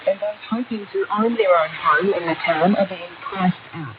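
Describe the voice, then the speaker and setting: Female New Zealand reporter for scripted news narration, on band-limited shortwave with clear noise. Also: lowish voice, moderate pace. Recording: narrow, noisy shortwave radio broadcast.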